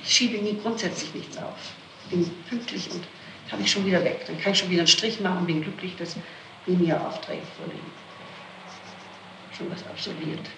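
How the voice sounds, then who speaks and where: A woman answering questions in an interrogation, explaining herself in halting detail, on an old 1960s tape. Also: lowish voice, steady tone, gravelly, echoey room, background noise. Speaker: person being questioned in a criminal case.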